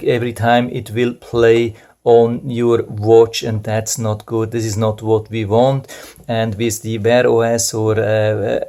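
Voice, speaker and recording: A man's voice: medium pitch, darkish tone. A man giving a casual, unscripted product review, explaining things step by step and often repeating his points. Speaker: product reviewer and video host.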